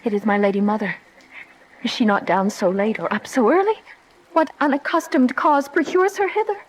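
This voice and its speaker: A woman acts a lovesick young noblewoman with a high, passionate voice. She delivers archaic verse fervently and with anguish, as in a classical radio tragedy. Light noise and ambience sit behind her. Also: normal speed, lightly acted, low-quality recording. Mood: sorrowful, guarded. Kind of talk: Shakespearean radio drama acting.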